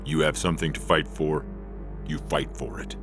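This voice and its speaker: A man's voice: a bass voice, fairly even intonation, moderate pace, vocal fry. A man playing a stern, terse military officer in a science fiction audio story. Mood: stern urging. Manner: commanding, terse. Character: stern commanding male soldier, a decurion. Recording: music behind the voice.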